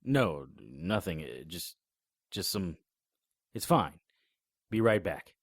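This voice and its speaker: A man with a very low, husky voice narrates a first-person noir horror tale. He tells even gory events in a grim, matter-of-fact way. The recording is close and dry, with no room sound. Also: slow pace, no room sound. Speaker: narrator and lead character.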